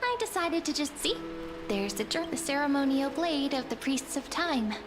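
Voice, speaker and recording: Casting: hopeful young fantasy game companion, high gentle voice, music clearly behind. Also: female voice, animated, normal speed. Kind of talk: scripted video game drama acting.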